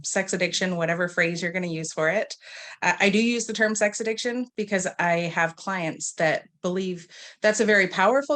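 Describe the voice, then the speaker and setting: A woman from Massachusetts, a marriage and family therapist, explains her work on a video call in a clear, plain American voice. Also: on the low side, fairly bright tone. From Massachusetts, United States. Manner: clear, explanatory, light laughs. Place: home in Dallas, Texas.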